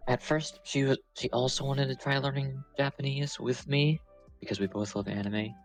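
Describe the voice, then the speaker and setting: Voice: mid-range voice, steady tone, moderate pace, soft background music, rough recording. A podcast guest chatting casually and making dry, teasing remarks.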